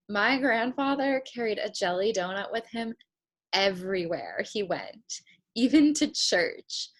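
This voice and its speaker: A woman acting a slow, higher-voiced dreamy young woman. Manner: hesitant, reflective, rambling. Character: dreamy, rambling young woman, hesitant but hopeful aspiring writer. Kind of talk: naturalistic stage drama read over video call.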